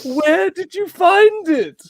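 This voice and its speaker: A man's voice: extremely high pitch, animated, slow, creaky, no echo, studio quality, heavily acted. A man playing a menacing, petulant sci-fi villain in a live comedic script read, with theatrical, exaggerated delivery. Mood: wounded, sulky.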